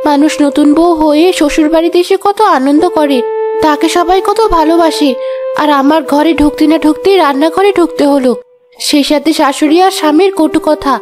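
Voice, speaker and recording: A meek young village bride with a very high voice, played by a woman. She is sorrowful and submissive, in animated drama style, over clear music. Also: fairly quick, lightly acted. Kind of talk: animated horror drama acting.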